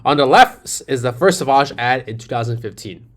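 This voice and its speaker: A man's voice: medium pitch, expressive, normal speed. A man with a New York accent, an agency founder giving a persuasive sales presentation over slides, asking rhetorical questions and repeating his key phrases. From New York City.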